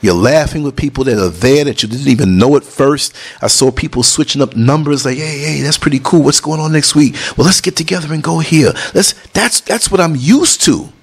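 A man's voice: a little fast, hardly any pauses, husky. A middle-aged man from New City, talking casually over concert footage in an emphatic, preachy way, swinging his voice up and down. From New York City. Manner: emphatic, preachy, jumps between high and low tones. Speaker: vlogger and podcast host.

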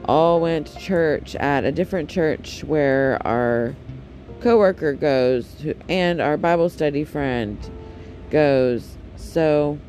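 Man, raspy, slightly high-pitched, casual rambling podcast chat, frequent ums. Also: takes it slowly, darkish tone, soft background music. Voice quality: deep and raspy, especially in the morning. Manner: rambling and candid, many ums and self-corrections. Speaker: podcast host.

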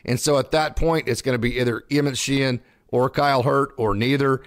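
A bilingual man hosts live sports talk in a dry, close sound. He is effusive and emphatic, chatting casually with his audience. His voice sits at an ordinary male pitch. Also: steady tone, fairly quick, dry recording. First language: bilingual English and Spanish. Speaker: live show host reading viewer messages.

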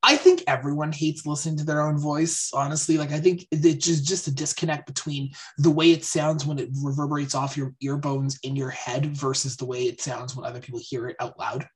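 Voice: medium pitch, steady tone, a little fast, hardly any pauses. A podcast co-host in casual chat, upbeat and teasing, laughing often.

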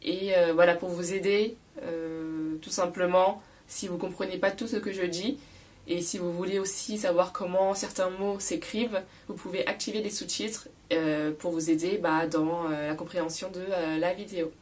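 A young woman with a cold, slightly hoarse and nasal, hosting a relaxed spoken French lesson with many fillers and careful repetition. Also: fairly low pitch, recorded from a distance. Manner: frequent fillers, repeats key words slowly. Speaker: podcast host teaching French.

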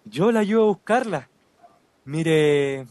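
A man's voice: on the high side, lively intonation, slow, a little mellow, no room sound. A man with a Chilean accent acting in a radio drama as a respectful, superstitious cemetery worker. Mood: eager, smitten. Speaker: actor.

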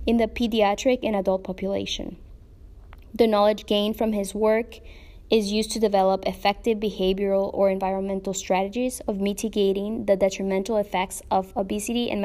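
A woman's voice: medium pitch, a little mellow. A woman hosting a podcast who reads a scripted guest introduction and then asks questions a little hesitantly. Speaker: podcast host.

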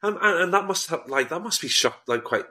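A man's voice: a little high, expressive, brisk, bright, forceful tone. A young man co-hosting a podcast, asking questions in a chatty way with lots of "like" and laughter. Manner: chatty interviewer, hesitant fillers, laughs. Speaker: podcast co-host. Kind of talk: casual podcast chat.

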